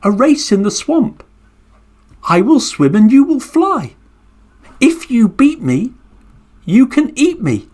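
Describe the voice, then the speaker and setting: A man doing a squeaky little pleading turtle. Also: sing-song, takes it slowly, pauses often, darkish tone, heavily acted. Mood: cheeky. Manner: high, pleading and insistent.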